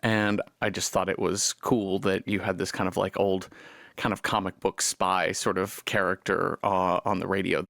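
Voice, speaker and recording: A man's voice: sits low, level tone, moderate pace, vocal fry, fairly bright tone, no room sound, studio quality. A man speaking reflectively in an interview as a writer and creator.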